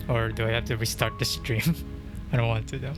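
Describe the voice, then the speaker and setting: A man's voice: medium pitch, fairly even intonation, a little fast. A young man chatting casually on a game livestream, rambling with lots of fillers and frequent chuckles, into a dynamic mic at home. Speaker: streamer. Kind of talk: casual livestream chat while gaming. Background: game music.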